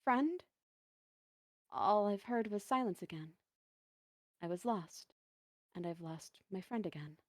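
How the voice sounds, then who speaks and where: A woman reading a first-person dramatic story as a lonely, self-doubting dancer lost in a forest, earnest and halting. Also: mid-range voice, lively intonation, no echo, clean studio sound. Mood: lost, sad.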